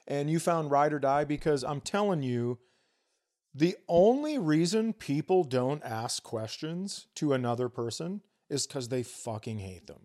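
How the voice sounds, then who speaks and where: A man's voice: fairly high pitch, lively intonation, unhurried, darkish tone. A man co-hosting a comedy podcast, giving blunt, profane, mock-authoritative takes in casual banter.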